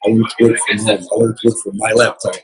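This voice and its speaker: A man giving an earnest motivational account as an interview guest, hoarse from losing his voice. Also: on the low side, level tone, fast, a little hiss or hum. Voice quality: very husky. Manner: earnest, repetitive motivational talk. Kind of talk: casual interview. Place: casino resort event.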